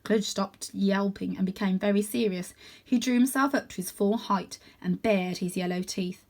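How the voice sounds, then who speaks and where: A woman reading a children's story aloud in an expressive narrating style. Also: medium pitch, normal speed. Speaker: storybook reader.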